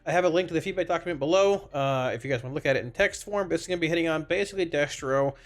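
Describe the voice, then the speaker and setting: A man with a congested, slightly raspy voice from a cold, giving casual, rambling, explanatory commentary on a video game to his viewers. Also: fairly high pitch, racing. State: congested, under the weather with a cold. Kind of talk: informal gaming feedback commentary to viewers. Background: background music.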